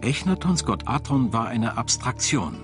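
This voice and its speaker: A man reads scripted documentary narration in a measured, articulate, storytelling way. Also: mid-range voice, expressive. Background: background music.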